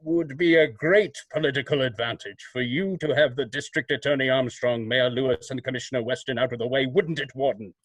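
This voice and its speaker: A man playing a mysterious, coolly accusing vigilante with measured, formal, sardonic delivery in old-time radio drama style. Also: mid-range voice, breathy edge, no room sound, lightly acted, rough recording.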